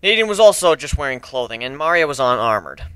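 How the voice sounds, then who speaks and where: Bright, clear man narrating a story. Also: medium pitch, big pitch swings, fast talker, no echo. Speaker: amateur dramatic story reader. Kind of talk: dramatic scripted first-person story narration.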